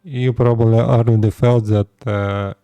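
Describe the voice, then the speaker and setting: A 36-year-old man from Russia with a Russian accent, speaking halting second-language English as he talks casually to his viewers. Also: mid-range voice, fairly even intonation, mellow, smooth, dry recording, highs cut off. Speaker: vlogger. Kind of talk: casual personal talk to camera.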